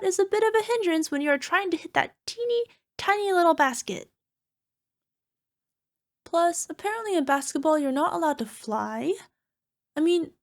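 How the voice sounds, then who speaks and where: A woman plays a self-conscious monster girlfriend with a high-pitched, creaky voice. She speaks conversationally, as in an ASMR roleplay, sounding insecure and dramatically, playfully exasperated with her darling. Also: heavily acted. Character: insecure chubby flying purple people eater girlfriend, a cyclops monster.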